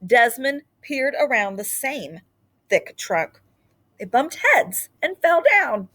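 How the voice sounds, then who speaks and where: A woman reading a children's picture book aloud in an expressive storytelling style. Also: on the high side, takes it slowly.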